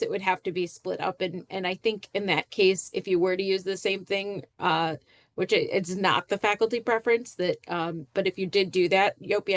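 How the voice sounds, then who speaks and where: A woman's voice: lowish voice, no room sound. Faculty woman on a call, dry close audio, straight to the point. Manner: direct and practical. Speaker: faculty member. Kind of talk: answering on a video call. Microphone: video call.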